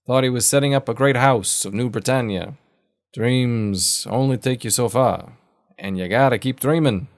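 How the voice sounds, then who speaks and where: A man puts on a cryptic old man of the back roads for an audio drama. He is kindly and folksy, handing out wisdom in an unhurried way. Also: medium pitch, voice pushed up, close to an everyday voice. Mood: wise, advising. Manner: folksy, unhurried, sage advice. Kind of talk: audio fiction character voice.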